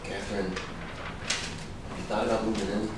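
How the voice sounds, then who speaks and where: An actor in a staged reading playing an intense, needy, proud writer. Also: male voice, on the low side, little pitch movement, measured pace, close to an everyday voice. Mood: pleading. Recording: echoey room from far off, noisy.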